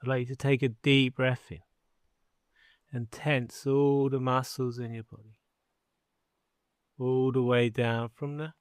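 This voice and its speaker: A man guiding a sleep hypnosis session in a soothing, measured manner with long pauses between phrases. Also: mid-range voice, clean tone, no room sound. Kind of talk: guided sleep hypnosis narration.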